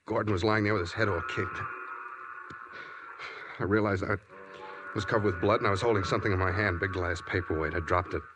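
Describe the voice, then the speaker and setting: An actor playing a tense, guilt-ridden murder suspect, urgent and blunt, in a 1940s radio drama. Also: male voice, sits low, steady tone, fairly quick, long pauses, close to an everyday voice. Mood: tense, troubled. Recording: noisy vintage radio recording.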